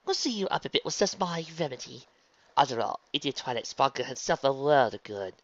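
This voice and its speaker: A man's voice: medium pitch, big pitch swings, brisk, highs cut off, voice pushed up, close to an everyday voice. A man voicing a refined, theatrical female pony shopkeeper with an affected, genteel and dramatic manner. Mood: coy, playful.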